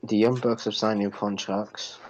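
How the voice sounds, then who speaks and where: A man's voice: lowish voice, little pitch movement, a little slow, lo-fi sound, slight background noise. A man co-hosting a podcast, giving his opinions on wrestling in casual chat. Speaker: podcast co-host.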